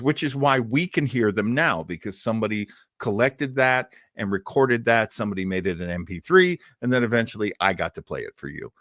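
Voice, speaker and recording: A man's voice: mid-range voice, a bit bright, no echo. Older man, rambling host, dry close sound. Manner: rambling, enthusiastic. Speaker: host of an old time radio show. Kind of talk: casual live-stream chat with listeners.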